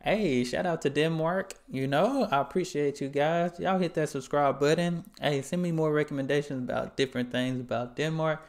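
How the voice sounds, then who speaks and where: A man's voice: a little high, moderate pace, hardly any pauses. A young American man in casual reaction commentary, laid-back and slangy, talking into a headset at home. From United States. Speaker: reaction channel host.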